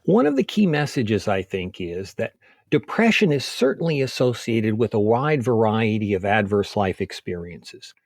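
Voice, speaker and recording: Low, slightly husky male medical expert. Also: very animated, a little mellow, dry recording, studio quality. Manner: measured, methodical, explains point by point. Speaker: physician, expert interview guest. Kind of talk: explanatory medical interview.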